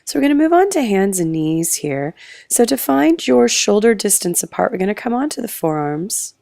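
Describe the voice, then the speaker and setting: Hoarse gravelly Australian yoga teacher. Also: female voice, mid-range voice, normal speed, dry recording, studio quality. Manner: calm, breath-paced cueing, trails into soft whispers. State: hoarse, croaky voice. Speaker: yoga instructor. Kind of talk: guided yoga class instruction.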